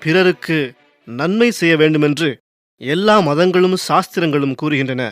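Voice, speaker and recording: A man narrating scripted moral reflection in a measured, formal manner. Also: on the high side, soft background music.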